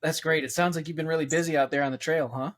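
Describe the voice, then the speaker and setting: A man's voice: on the high side, fairly even intonation, quick pace. A man interviewing a candidate in a casual, upbeat and encouraging way.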